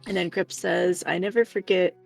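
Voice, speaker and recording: Female stream co-host, husky with vocal fry, informal chat, faint music underneath. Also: fairly low pitch, fairly even intonation, normal speed, darkish tone. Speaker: livestream co-host reading viewer messages. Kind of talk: casual livestream chat. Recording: music playing behind the voice.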